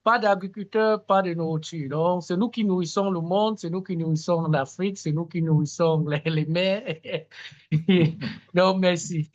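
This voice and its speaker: High-voiced man making an impassioned plea over a muffled video call, with lots of stammering. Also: fairly even intonation, measured pace. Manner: impassioned pleading, stammering repeats. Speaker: nominee and farming advocate.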